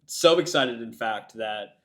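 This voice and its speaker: A man hosting an anime reaction video, chatting casually while getting over a cold, his voice raspy and a bit nasal, rambling as a self-described yapper. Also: medium pitch, expressive, unhurried, fairly bright tone. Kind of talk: casual chat to camera.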